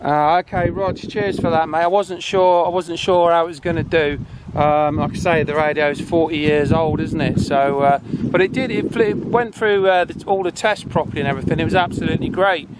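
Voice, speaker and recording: A man's voice: on the high side, steady tone, a little fast. A man in his forties giving a casual, chatty hands-on radio review outdoors. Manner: chatty, informal. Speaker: presenter testing handheld radios. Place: open grassy field outdoors. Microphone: camera held at arm's length. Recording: noisy outdoor recording with wind.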